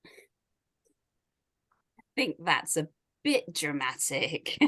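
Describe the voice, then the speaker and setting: A woman's voice: deep voice, big pitch swings, drawn-out words, sharp tone, exaggerated voice. A woman reading the part of a defiant, sarcastic middle-aged daughter in a drama script, with a dismissive manner. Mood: dismissive, mocking. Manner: sardonic, dismissive. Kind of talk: script read-through.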